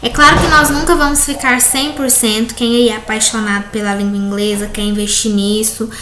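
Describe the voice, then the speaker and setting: A Brazilian woman, an English teacher, correcting textbook exercises in a recorded class, reading questions slowly and explaining with frequent tag questions. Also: on the high side, fairly even intonation. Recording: echoey room, faint background noise.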